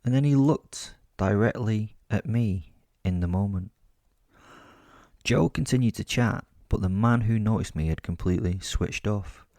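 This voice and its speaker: A man playing a bored, foul-mouthed werewolf, telling his story in a wry, conversational way for a dark comedy audio drama. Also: fairly low pitch, a little slow, no room sound. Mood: amused suspense. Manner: conversational, wry, casually sweary.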